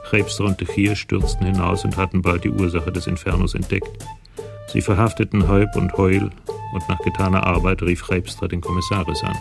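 A man narrating a scripted crime story in a radio drama, with a gravelly voice. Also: low voice, flat delivery, normal speed, over music.

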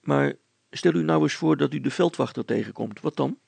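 A man's voice: on the high side, moderate pace, vocal fry, darkish tone, slightly rough, no room sound, pitched above the performer's own voice, exaggerated voice. A man voicing a sober, patient, doubting village smith in a story, with a dry, hesitant manner. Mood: doubtful.

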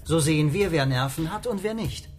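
A man acts a visionary project leader in a science fiction radio play. The voice is fairly high for a man, earnest and self-assured, in an echoey room with faint music. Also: expressive, fairly quick, darkish tone, lightly acted. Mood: calm, decisive. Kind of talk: radio drama acting.

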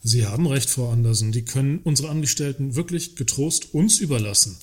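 An actor playing a works councillor who is politely needling yet placating, speaking in a satirical radio play. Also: male voice, mid-range voice, a little fast, a little mellow, close to an everyday voice. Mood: earnest agreement. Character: male works councillor, mildly mocking yet placating the boss. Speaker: actor in a satirical audio drama. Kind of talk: German radio play dialogue.

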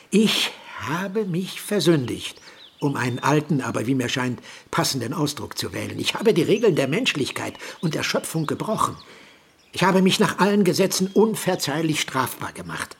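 Radio play role: remorseful older scientist, very breathy and husky, animated range, halting confessions. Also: male voice, a little high, full character voice. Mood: confessing. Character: guilt-ridden older male scientist, earnest and visionary. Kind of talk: German radio drama acting.

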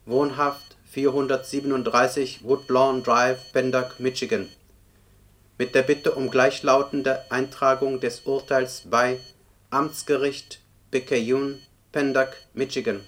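An actor voicing an impersonal court computer, reading formal official notices in a deadpan, bureaucratic manner in a radio play. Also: male voice, mid-range voice, slow. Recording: clearly noisy radio play recording.

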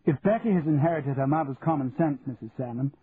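Man putting on a gushing posh young Englishwoman, high-pitched. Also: quick pace, natural voice. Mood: brisk, polite. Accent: upper-class English. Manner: gushing, effusive, crisp society politeness. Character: gushing, confident upper-class English young society woman. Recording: muffled narrow-band audio with hiss.

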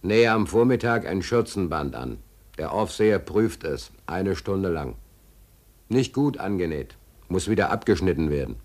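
An actor playing a wry, stateless drifter in a radio play, speaking in a laconic, deadpan way. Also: male voice, low voice, normal speed. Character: wry, stateless male drifter recounting his prison days. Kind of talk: German radio play acting, dry first-person narration.

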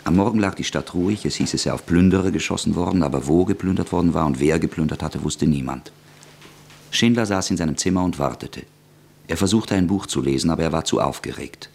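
Really deep raspy guy narrating a radio drama, literary storytelling. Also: fast talker, darkish tone.

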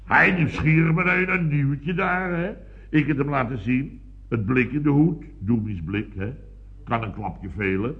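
A man's voice: medium pitch, unhurried, close to an everyday voice. Man playing a blustering boss, dull old recording. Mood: animated storytelling. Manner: rambling, repetitive, exclamatory storytelling with tag questions. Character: blustering, boastful male building contractor boss. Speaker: actor in a radio comedy serial. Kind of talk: 1970s radio comedy acting.

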